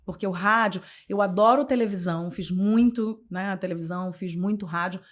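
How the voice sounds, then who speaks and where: A woman's voice: mid-range voice, normal speed. Chatty Carioca woman in her forties. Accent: Carioca, Rio de Janeiro. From Rio de Janeiro, Brazil. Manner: chatty and expressive, restarts phrases, frequent tag questions. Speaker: guest, journalist and communication mentor. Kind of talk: casual interview conversation.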